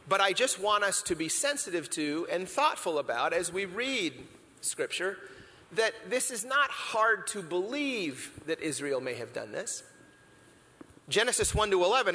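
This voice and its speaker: Bright-voiced preacher in a reverberant hall. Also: male voice, sits high. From Philippines. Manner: reflective, asks rhetorical questions, frequent restarts. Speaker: pastor. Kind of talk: conversational sermon. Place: church hall with an audience.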